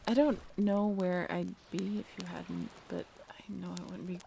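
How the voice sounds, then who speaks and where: A woman playing a shy, earnest half-mermaid in an intimate audio roleplay, hesitant and soft-spoken, often slipping into breathy whispers. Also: fairly low pitch, little pitch movement, moderate pace, a little hiss or hum. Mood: vulnerable, confessional.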